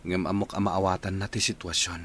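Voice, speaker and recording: Actor as an apologetic, low-voiced young fiancé. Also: male voice, little pitch movement, a little fast, fairly bright tone, slight background noise. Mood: respectful. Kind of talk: Ilocano radio drama dialogue.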